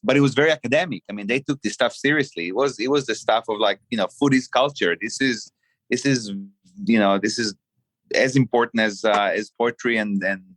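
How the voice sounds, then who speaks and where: A middle-aged man from Israel, a chef and restaurant owner, talking through his story in a halting way with many fillers and restarts on a podcast. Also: medium pitch, a bit bright, no echo. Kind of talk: casual podcast interview.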